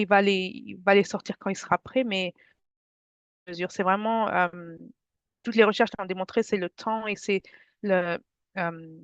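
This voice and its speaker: A woman from France presents an online workshop to parents in a friendly, conversational manner. Her voice sits at a middle pitch. The video-call audio is dull and dry, with no room sound. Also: fairly bright tone, no room sound. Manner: friendly, conversational, addresses the audience directly. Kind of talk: informal webinar presentation to parents.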